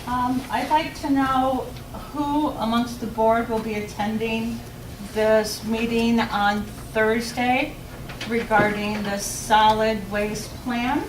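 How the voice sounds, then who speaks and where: A woman giving earnest public comment at a township meeting, halting with many ums. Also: fairly high pitch, fairly even intonation. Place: township hall meeting room. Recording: echoey room from a far mic, noisy.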